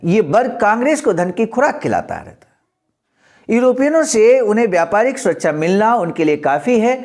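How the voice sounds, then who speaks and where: A man's voice: high voice, darkish tone. A man presenting a historical political speech, reading it aloud in a formal, explanatory and oratorical manner.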